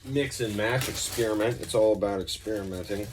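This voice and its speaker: A man's voice: on the low side, brisk, noisy background. A man giving a casual, off-the-cuff effects pedal demonstration as a musician, talking in an informal, rambling way. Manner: informal, rambling, mildly profane.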